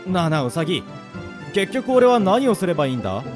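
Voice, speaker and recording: A man's voice: high voice, sing-song, fast talker, music in the background. A man in an amateur radio drama playing a casual, bewildered young man summoned to another world. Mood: doubtful. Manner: casual, reacting in puzzlement.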